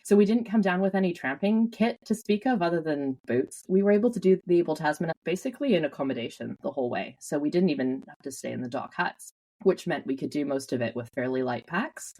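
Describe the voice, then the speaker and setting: A Canadian woman telling stories with lively energy as an interview guest, laughing often. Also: low voice, quick pace, low-quality recording. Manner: animated storyteller, laughs often. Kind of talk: casual interview.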